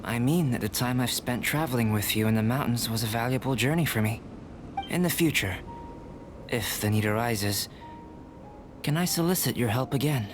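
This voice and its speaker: An actor playing a calm, soft-spoken young alchemist who is an artificial being, speaking in a measured, reflective way in a game cutscene. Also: male voice, medium pitch, natural voice. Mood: earnest. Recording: game soundtrack music behind.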